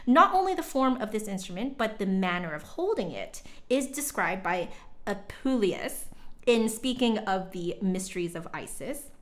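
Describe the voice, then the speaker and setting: A woman's voice: medium pitch, very animated, moderate pace. A woman livestream host reading an old text aloud dramatically, breaking off for informal, chatty asides to viewers.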